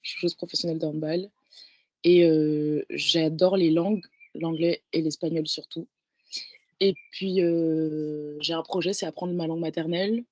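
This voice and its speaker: A woman in her twenties with a fairly low voice, talking about herself in a casual, reflective way. She is a guest in a conversation, recorded dry and close to echo-free. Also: fairly even intonation, moderate pace, darkish tone, highs cut off. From Paris, France. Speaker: guest, professional handball player.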